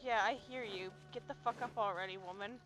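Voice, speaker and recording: Woman streamer; casual, swearing, chatty; game music loud behind. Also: mid-range voice, brisk. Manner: profane, chatty, bossy toward the screen. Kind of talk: casual gameplay commentary. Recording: game music playing under the voice.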